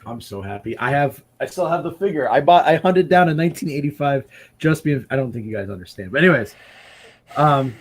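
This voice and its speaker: A man in his twenties hosting a casual chat, excitable and quick, swearing freely. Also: on the high side. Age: 20s. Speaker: podcast host.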